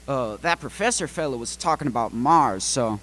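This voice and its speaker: A folksy farmer played by an actor rambles hesitantly as a radio play witness. His middle-pitched voice swings up and down in a lively way. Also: normal speed. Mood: drowsy, rambling. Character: slow, rambling, folksy male farmer, easily sidetracked.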